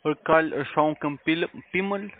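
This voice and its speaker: A man with a foreign accent in non-native German making a polite, halting cold call as a call centre adviser. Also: a little high. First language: not German. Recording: tinny, narrow phone line.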